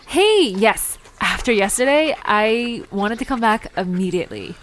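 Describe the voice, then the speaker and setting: A woman's voice: mid-range voice, expressive, a little slow, slight background noise, close to an everyday voice. A woman playing a curious, easily puzzled young visitor in an audio drama, talking in an informal, wry, inquisitive way. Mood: eager. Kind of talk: conversational audio drama dialogue.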